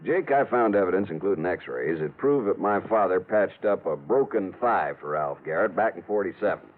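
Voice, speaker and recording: An actor playing an earnest, grave young small-town American doctor in an old-time radio drama. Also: male voice, low voice, lively intonation, moderate pace. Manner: earnest, precise. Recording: tinny vintage radio recording.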